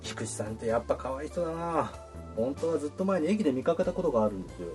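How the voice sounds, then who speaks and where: 男性が演じる 早口で礼儀正しい照れ屋の男. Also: male voice, on the high side, expressive, racing, soft background music, natural voice. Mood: earnest, flirtatious. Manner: courteous, soft-worded, slightly bashful. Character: polite, shy, earnest man courting a woman. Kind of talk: radio drama acting.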